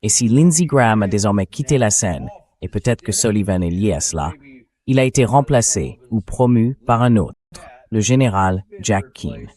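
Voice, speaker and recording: A man's voice: lowish voice, animated, normal speed, darkish tone. A man hosting a dubbed interview in a conversational way. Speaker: interview host.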